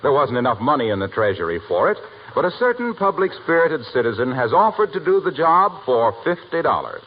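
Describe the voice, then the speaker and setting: A man's voice: mid-range voice, big pitch swings, fairly quick. An American man announcing a scripted radio program in a formal 1940s style. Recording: dull, noisy old 1940s radio recording.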